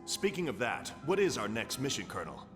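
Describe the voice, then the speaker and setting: An actor playing an earnest, commanding superhero team captain in a video game, direct and dutiful. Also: male voice, mid-range voice, big pitch swings, brisk, music in the background, natural voice. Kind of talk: video game cutscene acting.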